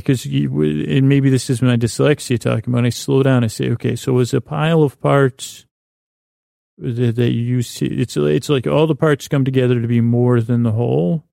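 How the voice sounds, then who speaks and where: A man hosting a bedtime podcast with a rambling, absurd comic monologue, halting and often losing his thread, his words a bit slurred and mumbled. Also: medium pitch, gravelly, mellow, no echo, clean studio sound.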